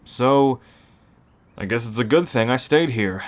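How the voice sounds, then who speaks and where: A man playing a weary, protective werewolf who keeps a refuge, speaking in a measured, earnest way for an ASMR roleplay. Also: medium pitch, lively intonation, natural voice. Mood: earnest, reassuring.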